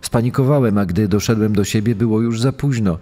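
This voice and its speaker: An actor playing an accused killer giving grave, deliberate, self-justifying testimony in a true crime audio drama. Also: male voice, on the low side, warm tone, natural voice. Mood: defensive. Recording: long echoing hall reverb.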